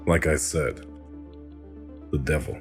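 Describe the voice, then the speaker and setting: A man's voice: a bass voice, slow pace, soft background music. A man playing a bitter, cynical, foul-mouthed cop in an audio drama, sardonic and defiant.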